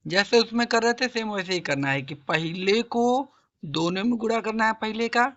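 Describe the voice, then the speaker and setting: A hoarse man with a sore throat teaching a math lesson, coaxing students along and asking and answering his own questions. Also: high voice, moderate pace, fairly bright tone, highs cut off. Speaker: math tutor. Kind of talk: classroom-style math lesson.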